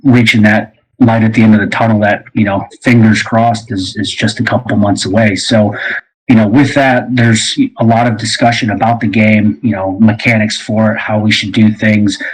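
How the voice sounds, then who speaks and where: A man's voice: fairly low pitch, level tone, moderate pace, husky, low-quality recording. A man speaking casually as a host, rambling along with plenty of you-knows. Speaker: host and game creator. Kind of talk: casual conversational interview.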